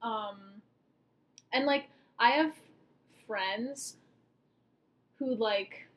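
A woman's voice: on the high side, drawn-out words, fairly bright tone. A woman in her twenties co-hosting a casual podcast, thinking aloud and rambling with lots of filler words. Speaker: podcast co-host.

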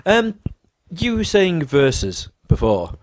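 A man's voice: high voice, huge pitch swings, slow, dry recording, studio quality. Young man, podcast co-host, casual and opinionated, free with swearing. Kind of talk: casual chat.

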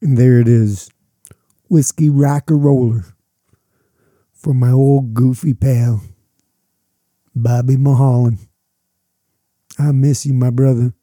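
A man hosting a podcast, presenting music in a relaxed, personal way. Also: mid-range voice, slow pace, pauses often, no echo, clean studio sound. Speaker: podcast host. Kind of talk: show presenting.

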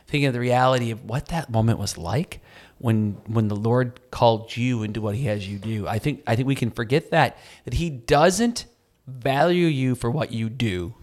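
A man's voice: medium pitch, normal speed, darkish tone. A man with a Wisconsin accent co-hosting a faith podcast, talking in an earnest, encouraging way and often restarting his phrases. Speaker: podcast co-host. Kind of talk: casual faith podcast chat.

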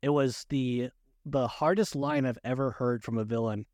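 A man co-hosting a casual movie chat, speaking haltingly, repeating words and often chuckling. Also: medium pitch, steady tone, a little mellow, no echo, studio quality. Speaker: podcast co-host.

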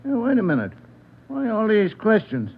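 An actor playing a cagey, brash, streetwise union steward with clipped colloquial talk in an old radio drama. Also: male voice, high voice, wildly swooping pitch, moderate pace, vocal fry, narrow, tinny audio, full character voice. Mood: wary, evasive. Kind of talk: 1940s-50s radio drama acting. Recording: thin, noisy vintage radio recording.

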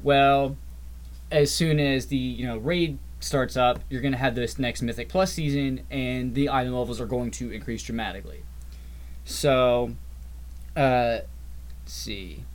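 A man's voice: mid-range voice, steady tone, unhurried. Rambling man explaining game updates. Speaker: podcast co-host. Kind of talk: casual gaming chat.

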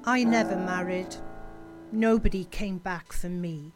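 A woman playing a grieving, lonely sister of a dead soldier, recalling the past slowly and wistfully in a radio drama. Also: lowish voice, music in the background, lightly acted. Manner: slow, wistful recollection. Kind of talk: radio play monologue.

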